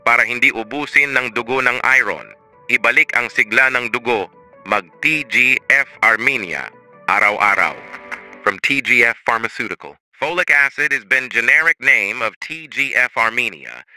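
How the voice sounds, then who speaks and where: A man's voice: mid-range voice, sharp tone. A man with a Filipino accent reading a scripted radio commercial as an announcer. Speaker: advertisement voice-over announcer.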